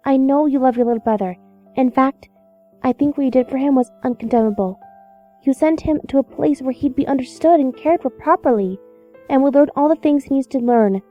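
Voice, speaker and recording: A woman voicing an earnest, caring young pony who is gently serious, on a dull microphone, in an audio drama. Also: high-pitched, brisk, soft background music, natural voice. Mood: reassuring. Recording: dull, band-limited microphone.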